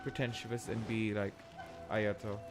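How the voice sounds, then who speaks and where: A woman's voice: a bass voice, flat delivery, unhurried, over loud music. A woman streaming a game, giving casual commentary in short muttered reactions. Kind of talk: casual livestream playthrough commentary.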